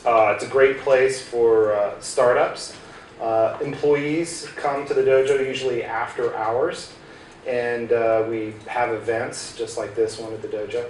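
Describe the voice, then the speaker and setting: A man's voice: medium pitch, steady tone, a little slow, darkish tone. A middle-aged American man giving a casual tech talk to a small group, with lots of "uh" fillers and restarts. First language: English. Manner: chatty, many "uh" fillers and restarts. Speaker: guest speaker at a hackerspace. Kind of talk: informal tech presentation to a small audience. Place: small room in a hackerspace with projector. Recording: roomy distant room sound, faint hiss.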